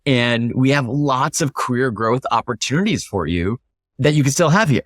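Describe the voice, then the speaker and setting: A man's voice: medium pitch, animated, moderate pace, dry recording, studio quality. A man speaking as an interview guest, explaining his thinking in an emphatic, conversational way with rhetorical questions. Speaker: interview guest, startup founder.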